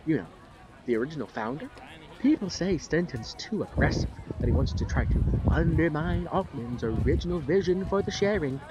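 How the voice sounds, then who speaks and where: Guy acting as starry eyed fan of some group, chatty n gushing, highish voice, really up and down. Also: expressive, moderate pace, lo-fi sound, noisy background, close to an everyday voice. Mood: gushing admiration. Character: eager, starry-eyed male devotee of an organization. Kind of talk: audio drama small talk.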